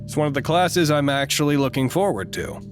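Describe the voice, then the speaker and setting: An actor playing a fussy, self-important, easily wounded doctor in an audio drama, with a pompous, theatrical delivery. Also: male voice, on the high side, lively intonation, a little fast, music in the background, close to an everyday voice. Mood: weary, sullen. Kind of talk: dramatic monologue, audio log.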